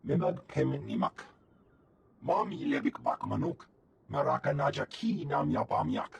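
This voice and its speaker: A man voicing a gravelly alien trader in a video game, speaking an invented tongue. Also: medium pitch, lively intonation, normal speed, a little hiss or hum. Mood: matter-of-fact. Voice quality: gravelly, creaky. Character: guttural male alien trader.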